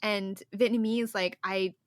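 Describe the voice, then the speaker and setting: A woman's voice: medium pitch, fairly even intonation, no room sound. Engaged young woman hosting, dry sound. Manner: warmly engaged, quick affirmations, emotional. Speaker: podcast host. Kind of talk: casual conversation.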